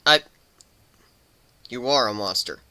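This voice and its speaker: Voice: medium pitch, slow, sharp tone. Performer voicing a bashful, stammering male pegasus. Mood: defensive. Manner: stammering, hesitant, earnest. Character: bashful, nervous male pegasus pony, earnest. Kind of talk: dramatic fan fiction reading.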